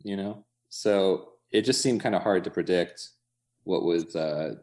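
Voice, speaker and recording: A man's voice: deep voice, unhurried, darkish tone. An American man talking casually in an interview, thinking aloud in loose fragments peppered with "like" and "you know". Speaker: coffee shop owner being interviewed.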